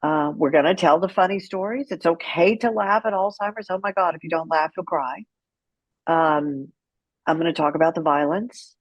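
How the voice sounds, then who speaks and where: A woman's voice: low-pitched, moderate pace, no echo, muffled recording. A woman speaking as an interview guest, telling her story in a candid, outspoken and emphatic way. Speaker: guest, author and caregiver advocate.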